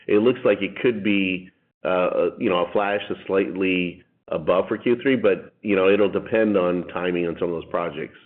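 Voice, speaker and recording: A man speaking as a company executive on an earnings conference call, heard over a narrow phone line. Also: deep voice. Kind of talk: prepared financial remarks and analyst answers. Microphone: telephone conference line.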